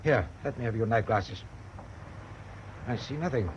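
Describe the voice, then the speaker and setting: An actor playing a weary, irritable German merchant ship captain in a radio drama, giving curt, imperious orders. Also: male voice, mid-range voice, animated, normal speed, natural voice. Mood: irritable, commanding. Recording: dull, noisy old radio drama recording.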